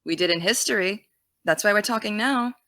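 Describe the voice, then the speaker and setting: A young actress playing an earnest high school girl who wants to be a journalist, defensive and dry. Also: brisk, bright, forceful tone, no echo. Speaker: student podcast host. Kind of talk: audio drama.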